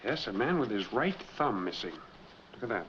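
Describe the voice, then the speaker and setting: Wrongly accused man on the run, low voice, terse, urgent, dull noisy vintage film sound. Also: sing-song, moderate pace, fairly bright tone. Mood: determined. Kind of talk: 1940s film noir acting.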